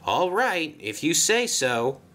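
A modest, hardworking steam engine, voiced by a man, in a children's train story. He speaks politely and wryly, in a bright voice with lively rises and falls. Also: on the high side, slow, pitched above the performer's own voice, full character voice. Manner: polite, wry. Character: hardworking, modest, dry-humored saddle tank engine.

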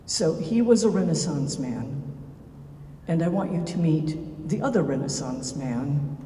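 An older woman introducing a speaker at a podium in a church, talking slowly and haltingly with pauses. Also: sits low, animated, mellow. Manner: slow, halting, with pauses and fillers. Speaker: event host introducing the speaker. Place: large church sanctuary. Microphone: podium mic, recorded from far off. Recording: echoey church, distant mic, faint hiss.

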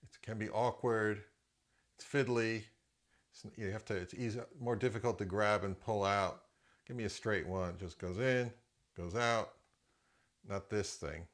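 A man's voice: fairly low pitch, a little slow. A middle-aged man giving a casual, opinionated product review as an audio gear reviewer, in a conversational way.

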